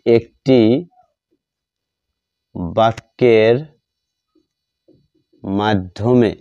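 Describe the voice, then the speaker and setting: Slow-paced male teacher, dull lo-fi sound. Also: lowish voice, fairly even intonation, clean tone, no room sound. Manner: deliberate, repeats phrases as he writes. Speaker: English grammar teacher. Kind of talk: slow lesson narrated while writing out examples.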